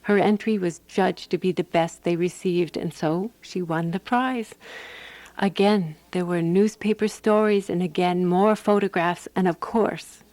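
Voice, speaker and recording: A woman actor playing a composed, wry woman who narrates a radio drama in a measured, dry manner. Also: lowish voice, steady tone, a little mellow, dry recording, lightly acted.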